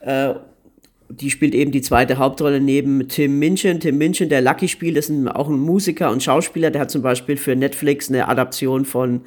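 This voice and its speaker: A man's voice: mid-range voice, steady tone, normal speed. A man co-hosting a podcast, giving a casual review and often hesitating and repeating words as he searches for phrasing. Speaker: podcast co-host recommending series.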